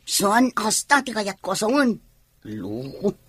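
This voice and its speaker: A woman's voice: mid-range voice, huge pitch swings, slow, fairly bright tone, breathy edge, no echo, heavily acted. A woman with an Ilocano accent playing a cheeky, blunt, scheming young tomboy in a radio drama. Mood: teasing.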